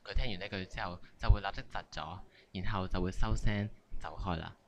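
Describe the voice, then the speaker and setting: A man's voice: deep voice, fairly quick. A man with a Hong Kong Cantonese accent hosting a comic radio skit in playful scripted narration. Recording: amateur recording with clear background noise.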